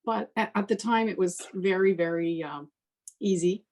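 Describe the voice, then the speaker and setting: A woman's voice: mid-range voice, normal speed, a little mellow. An older woman casually introducing herself as a guest in a conversation, speaking as a professional musician. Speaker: interview guest, professional violinist.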